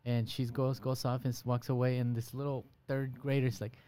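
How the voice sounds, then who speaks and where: A middle-aged man hosting a conversation, relaxed and affirming as he listens and speaks. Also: mid-range voice, normal speed, clear, resonant tone. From Guatemalan background. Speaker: podcast host. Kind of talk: casual conversation.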